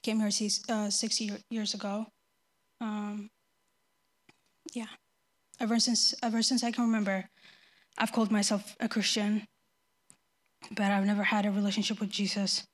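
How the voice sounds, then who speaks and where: A young woman from Ethiopia giving a nervous, halting personal faith testimony before her baptism, often restarting her sentences. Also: medium pitch, flat delivery. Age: young adult.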